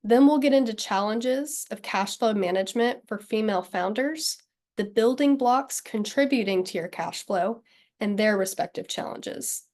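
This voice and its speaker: A woman in her thirties from Texas leads a webinar in an upbeat, well-organized way. A cold leaves her voice slightly nasal, and her pitch sits in a middle range. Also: moderate pace, darkish tone. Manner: upbeat and friendly, clear and organized. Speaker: webinar presenter. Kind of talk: scripted webinar presentation.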